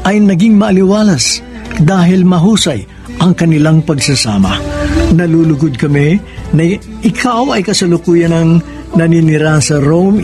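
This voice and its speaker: Radio drama host: Filipino man, higher-pitched voice, formal measured scripted delivery over clear background music. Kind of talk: scripted radio drama hosting, reading letter reply and credits.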